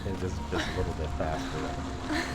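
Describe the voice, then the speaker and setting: A man's voice: low-pitched, huge pitch swings, a little fast. A man hosting a let's play, musing aloud to himself in casual, offhand commentary. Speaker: gamer, let's play host. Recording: game music behind the voice.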